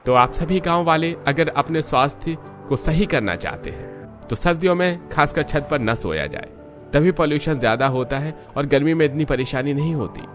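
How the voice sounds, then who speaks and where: A man's voice: a little high, lively intonation, normal speed, soft background music, natural voice. A man playing a concerned village doctor who explains things earnestly in a cartoon moral story.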